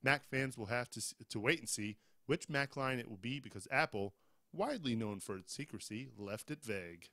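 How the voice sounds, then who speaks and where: A man's voice: mid-range voice, animated, normal speed, a bit bright, no room sound. A man co-hosting a casual tech news podcast, reading headlines in a conversational way with some fillers, just over a cold. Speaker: podcast co-host.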